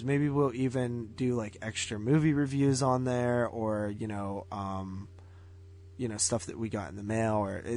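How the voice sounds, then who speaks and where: A man's voice: lowish voice, a little mellow. A man co-hosting a podcast in casual chat, talking in an informal, rambling, loosely phrased way. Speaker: podcast co-host. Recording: music behind the voice.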